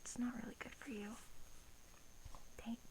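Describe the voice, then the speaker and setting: A woman playing a coaxing, politely menacing vampire in a soft-spoken ASMR roleplay, gentle and hesitant, often slipping into a whisper. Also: moderate pace, natural voice, poor audio. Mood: coaxing, matter-of-fact.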